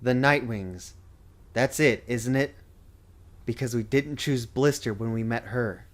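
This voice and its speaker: Man as a serious, mid-pitched young dragon. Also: unhurried, lightly acted. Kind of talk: animated fan dub acting.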